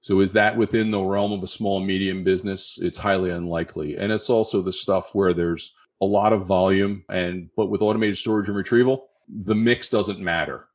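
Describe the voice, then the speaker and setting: A man's voice: low-pitched, steady tone, normal speed, hardly any pauses, dull audio. A middle-aged man with a Philadelphia accent, talking through warehouse technology as a podcast guest, often checking in with "okay?". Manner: conversational, often adds "okay?" to check understanding. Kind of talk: explanatory podcast interview.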